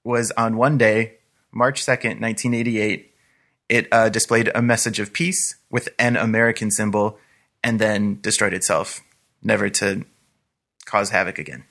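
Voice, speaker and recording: A man co-hosting a conversational talk, explaining things in a measured, informative way. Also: fairly low pitch, steady tone, creaky, fairly bright tone. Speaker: podcast co-host. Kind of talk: conversational retro computing commentary.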